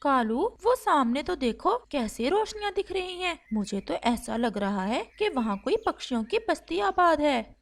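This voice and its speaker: Woman voicing a pushy, high-pitched female cartoon crow. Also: big pitch swings, a little slow, creaky, exaggerated voice. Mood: eager. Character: scheming, pushy female crow wife, sweet-talking. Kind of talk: Hindi cartoon storybook dialogue.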